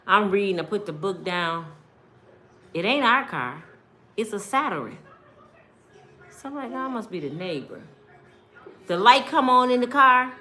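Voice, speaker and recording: Woman, American accent, storytime vlogger, bright forceful voice, lively pitch swings, blunt, expressive, conversational storytelling. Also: a little hiss or hum. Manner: blunt, expressive, acts out dialogue. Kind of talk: animated personal storytelling to viewers.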